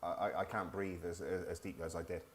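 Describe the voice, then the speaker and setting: A middle-aged man with a lowish voice chats as a guest musician. He stumbles and repeats words as he talks. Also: level tone, fast talker, a little hiss or hum. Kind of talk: casual chat.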